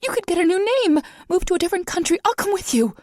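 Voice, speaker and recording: A woman voicing a passionate young woman who pleads in a high, loud, desperate voice. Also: sing-song, fairly quick, no echo, studio quality.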